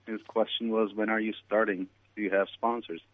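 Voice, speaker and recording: Low-voiced man on a tinny line. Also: flat delivery, brisk. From Turkey. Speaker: guest. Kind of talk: interview answers.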